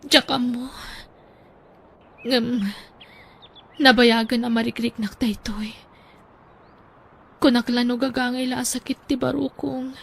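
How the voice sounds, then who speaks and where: An anxious, ailing young wife and mother who speaks softly and slowly, with a gravelly, creaky edge to her voice, played by a woman in a radio drama. Her voice sits a little high. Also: level tone, heavily acted. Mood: confiding. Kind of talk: audio drama acting.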